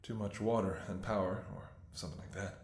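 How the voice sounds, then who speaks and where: A man playing a devoted, anxious doctor in an intimate audio roleplay, speaking to his sick lover in a tender, emotional, halting way. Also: fairly low pitch, steady tone, close to an everyday voice. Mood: bitter, worried. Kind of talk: intimate scripted ASMR roleplay acting. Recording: echoey room.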